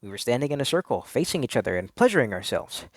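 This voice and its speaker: A man's voice: mid-range voice, big pitch swings, fast talker, no echo. Theatrical man narrating, dry close sound. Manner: theatrical, earnest confessional delivery. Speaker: narrator reading a story. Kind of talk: dramatic first-person story narration.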